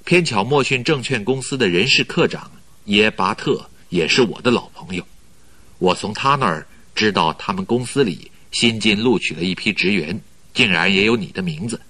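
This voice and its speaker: An actor in a Mandarin radio drama playing a polite, flattering company recruiter who talks in a measured, persuasive way. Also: male voice, fairly low pitch. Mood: persuasive, explaining. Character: smooth-talking male company recruiter, flattering, persuasive schemer.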